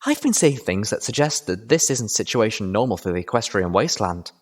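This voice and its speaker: A man's voice: on the low side, expressive, a little fast. A man voicing an earnest, determined young female pony adventurer in an audiobook.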